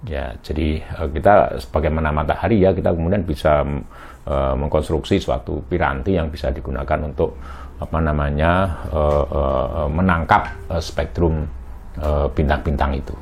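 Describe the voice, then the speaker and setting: A middle-aged man with a very deep voice teaches an astrophysics lecture. He speaks in a halting way, filling pauses with hums and tag questions. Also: fairly even intonation, gravelly, darkish tone, slight background noise. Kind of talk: recorded university lecture.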